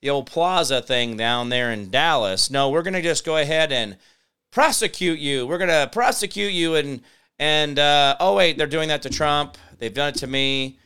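Clear-voiced American man, rambling talk show host. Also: on the high side, bright, forceful tone. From United States. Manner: halting, sardonic, digressive. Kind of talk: rambling off-the-cuff political commentary.

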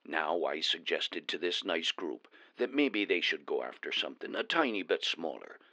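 Casting: man as a gruff, chatty dwarf news reporter with a lilt, very deep gravelly voice, crisp and bright. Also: big pitch swings, normal speed, no room sound, dull audio, full character voice. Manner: chatty, wry storyteller. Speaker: remote correspondent.

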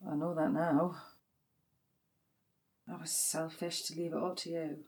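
An actress playing a blunt, emotional grieving sister with dark humour in a naturalistic audio drama. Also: female voice, deep voice, little pitch movement, a little slow, slight background noise. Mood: tender, sad. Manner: wry, sweary, dramatic. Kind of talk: naturalistic audio drama acting, comedy and pathos.

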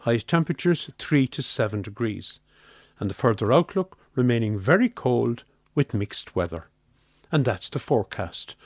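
An Irish man reads a scripted weather forecast for radio. His medium-pitched voice moves up and down in an animated way. The recording is dry and free of room sound. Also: normal speed, no room sound.

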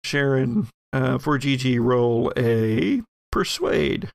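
A man's voice: medium pitch, very animated, slow pace, creaky, dry recording. A man narrating a horror role-playing audio drama as game master, with descriptive, suspenseful storytelling.

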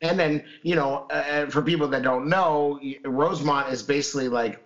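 A man with a hoarse, scratchy voice after losing it, co-hosting a casual chat show and rambling with many restarts. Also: a little high, moderate pace, a bit bright, lo-fi sound. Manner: rambling, lots of fillers and restarts. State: hoarse, recovering lost voice. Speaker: podcast co-host.

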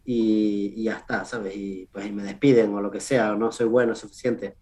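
A man with a Canarian Spanish accent giving casual interview answers as a research scientist, in an informal, halting way with self-corrections and tag questions. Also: on the low side, little pitch movement, a little mellow. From Canary Islands, Spain. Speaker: research scientist, podcast guest.

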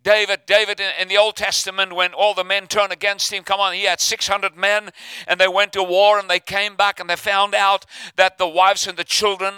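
Fervent preacher. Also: male voice, high voice, level tone, moderate pace, bright, forceful tone, clear, resonant tone, dry recording. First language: Afrikaans. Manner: fervent, calls for responses, acts out inner voices. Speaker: pastor. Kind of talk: sermon.

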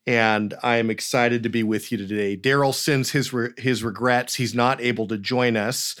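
A man's voice: medium pitch, fairly even intonation, normal speed, fairly bright tone, clean tone. Enthusiastic man as podcast host on a video call. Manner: upbeat, enthusiastic, with fillers. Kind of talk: conversational interview.

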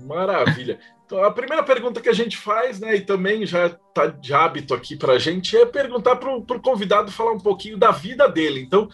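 A man's voice: high-pitched, fairly bright tone, husky. A man with a Brazilian accent hosting a casual chat show, talking informally and chattily to his guest and audience. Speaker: host.

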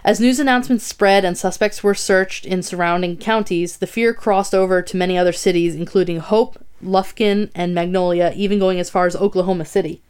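Brief young woman host, smooth clear voice, loose chatty narration, cusses casually. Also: lowish voice, steady tone, a little fast, hardly any pauses, a little mellow. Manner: swears casually, reads notes aloud with asides. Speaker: podcast host telling a true crime story. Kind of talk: casual storytelling chat, reading notes.

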